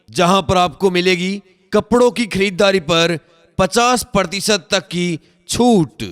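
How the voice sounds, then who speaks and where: High-pitched man announcing in long reverb. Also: a bit bright. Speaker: advertisement announcer. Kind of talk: scripted promotional announcement.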